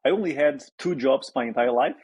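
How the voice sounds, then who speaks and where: Romanian-accented man, husky and dry. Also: mid-range voice, fairly quick, darkish tone, no echo, dull audio. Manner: hesitant, many fillers and restarts. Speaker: guest entrepreneur. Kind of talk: conversational interview answers.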